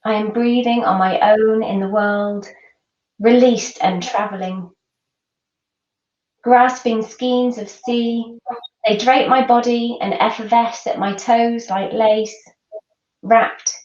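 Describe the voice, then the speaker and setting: A woman reading her own poem with deliberate pauses and expressive phrasing. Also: fairly high pitch. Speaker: poet. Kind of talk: poetry reading. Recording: echoey, distant, dull microphone.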